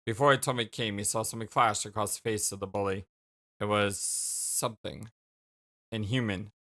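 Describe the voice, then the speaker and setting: A man's voice: lowish voice, steady tone, moderate pace, fairly bright tone. A man reading visual novel narration as a let's play host, with casual commentary and the odd stumble.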